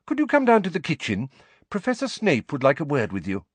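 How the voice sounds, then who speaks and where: A male narrator puts on a kindly, middle-aged mother from a storybook, pitched up high. She is brisk and fussing, coaxing with a reproving edge. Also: wildly swooping pitch, breathy edge, no echo, exaggerated voice.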